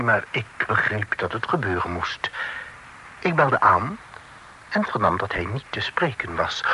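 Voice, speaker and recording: An actor playing a talkative, worldly gentleman envoy in a period radio drama, speaking formally and floridly with French phrases sprinkled in. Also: on the low side. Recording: noisy old radio play recording.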